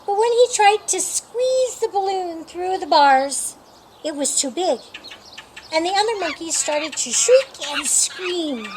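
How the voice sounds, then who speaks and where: A woman reading a picture book aloud as children's storytime, with deliberate, expressive phrasing for young listeners. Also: very high-pitched, slight background noise.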